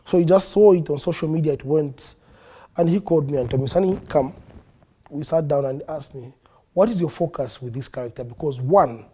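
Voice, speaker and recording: A man with a Zambian accent, a comedian being interviewed in a studio, animated and quick to laugh, slipping into local languages now and then. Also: a little high, breathy edge. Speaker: comedian guest. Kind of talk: interview talk.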